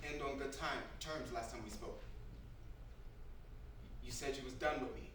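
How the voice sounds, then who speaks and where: A man's voice: medium pitch, moderate pace, lightly acted. A man playing a brash, teasing younger brother just out of prison, in loose, playful street talk at a staged script reading. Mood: hesitant. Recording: very echoey room from far off, loud noise.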